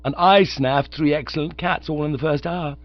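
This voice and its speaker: A man's voice: fairly high pitch, steady tone, normal speed, muffled recording, voice pushed up, close to an everyday voice. A man voicing a breezy young Oxford student, rattling on chummily. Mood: breezy, chatty. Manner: rattling, chummy, full of "you know".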